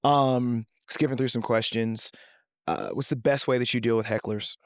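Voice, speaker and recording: A man's voice: medium pitch, fairly even intonation, moderate pace, no echo, studio quality. A man hosting an interview, casually agreeing and laughing along with his guest. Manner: affirming, laughs along often. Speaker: podcast host.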